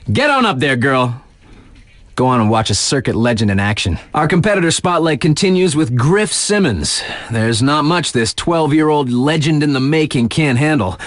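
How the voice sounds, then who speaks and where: A man's voice: mid-range voice, no echo, studio quality, close to an everyday voice. A man playing a hip, cocky young snowboard radio DJ in a video game, delivering hyped, slangy and playful sports patter.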